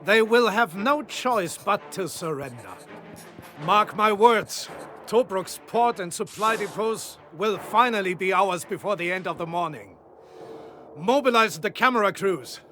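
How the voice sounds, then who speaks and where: An actor playing a confident wartime general, giving authoritative, formal mission briefings in a video game. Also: male voice, high-pitched, animated, a little slow, bright, forceful tone, a little hiss or hum, heavily acted. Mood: emphatic. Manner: authoritative, formal, self-assured. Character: confident, commanding male German panzer general in wartime.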